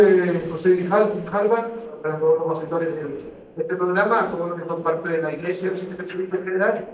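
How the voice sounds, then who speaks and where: A man from Chile, a guest evangelist pastor on a radio program, speaking and praying in a solemn, measured way. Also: fairly high pitch. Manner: solemn, measured prayerful delivery. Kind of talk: radio guest talk and spoken prayer. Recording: roomy echo, voice distant from mic.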